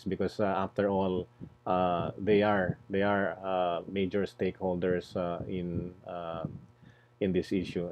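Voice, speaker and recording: A man speaking as an NGO panelist, deliberate and halting, often repeating words as he searches for phrasing. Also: sits low. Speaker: environmental NGO executive, panel presenter. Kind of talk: spontaneous panel presentation. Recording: muffled, noisy online webinar audio.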